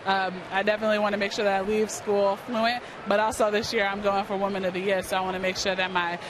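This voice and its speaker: A woman's voice: mid-range voice, level tone, quick pace. Young American woman, earnest, in stadium noise. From New York, United States. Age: early 20s. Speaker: student athlete being interviewed. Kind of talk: conversational live interview. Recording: clear outdoor stadium noise behind. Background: outdoor ballpark ambience.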